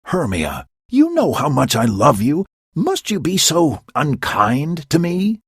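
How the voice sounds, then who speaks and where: Man voicing a lovesick young man, sulky, whiny, breathy, slow storybook read. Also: on the high side, huge pitch swings, no echo, clean studio sound, pitched above the performer's own voice, heavily acted. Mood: pleading.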